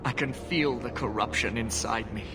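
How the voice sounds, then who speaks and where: An actor playing a gentle, wounded husband in a fantasy game, weak and pained as he nears death. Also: medium pitch, moderate pace, lightly acted. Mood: weakly resigned. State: weak, in pain. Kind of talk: dramatic fantasy game acting. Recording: music behind the voice.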